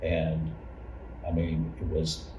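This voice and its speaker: A man recalling church life in a casual group interview, haltingly rephrasing as he goes. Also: very deep voice, completely flat delivery, dark tone. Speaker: church member recalling past challenges. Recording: echoey room, distant mic, clear noise.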